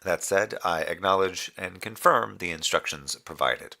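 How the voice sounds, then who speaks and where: An actor playing a dutiful, dryly sardonic moon base communications officer, reporting in a formal, measured way in a scripted audio drama. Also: male voice, low voice, vocal fry, sharp tone, exaggerated voice. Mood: restrained, pointed.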